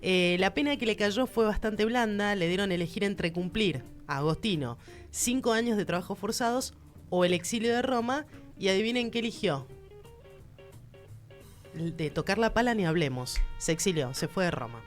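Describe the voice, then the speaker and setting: A woman's voice: mid-range voice, lively intonation, moderate pace, soft background music. A woman with a Rioplatense accent hosting a casual art-history segment, chatty and emphatic, speaking straight to the listener. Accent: Rioplatense Spanish. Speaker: culture segment host.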